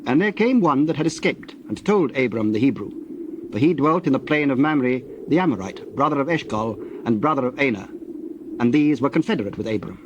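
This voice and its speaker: A man narrating scripture from a script in a measured, solemn manner. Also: medium pitch, expressive, highs cut off, noisy background.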